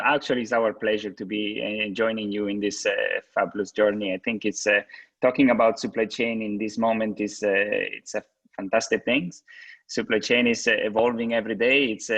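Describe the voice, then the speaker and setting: A man who grew up in Switzerland speaks from a low register, answering as a guest executive on a video call. He talks haltingly, with fillers and restarts. The sound is dry and close, with no room around it. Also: gravelly, a bit bright, husky, no echo. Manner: hesitant, many restarts and fillers. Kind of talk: webinar interview answers.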